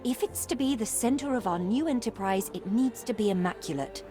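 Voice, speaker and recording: An actress playing a determined, loyal young gentlewoman who gives advice in a video game, earnest and composed. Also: fairly high pitch, lively intonation, fairly quick, music in the background, natural voice. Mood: practical, determined. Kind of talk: video game character acting.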